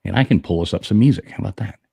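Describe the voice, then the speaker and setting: A man's voice: sits low, rapid-fire, gravelly, mellow, slightly rough, no room sound. A man co-hosting a live-stream trivia night, chatting casually and greeting viewers by name. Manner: laid-back, greets chat by name. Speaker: stream co-host.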